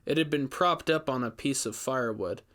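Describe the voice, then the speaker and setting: A man's voice: medium pitch, moderate pace, a bit bright. A man reading scripted first-person horror fiction as a storyteller.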